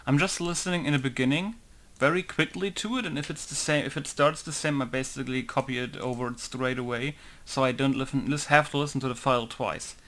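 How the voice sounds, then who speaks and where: A man's voice: medium pitch, a little fast, few pauses. A man who mods games, talking casually and rambling as he works through a tutorial, laughing now and then. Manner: relaxed, rambling, laughs often. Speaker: game modder. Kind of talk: casual screen-recording commentary.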